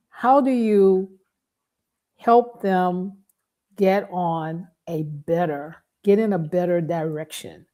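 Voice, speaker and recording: A woman's voice: medium pitch, dark tone. A woman hosting a conversational interview, speaking in a reflective, roundabout way as she sets up her questions. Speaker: podcast host, interviewer.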